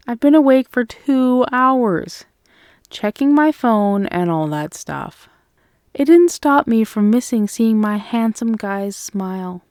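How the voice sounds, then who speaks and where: A woman playing a loving, playful girlfriend in an ASMR roleplay, speaking in a soft, cooing, teasing way. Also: mid-range voice, no echo, studio quality, close to an everyday voice. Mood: tender, playful. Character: loving, playful, affectionate girlfriend waking her partner.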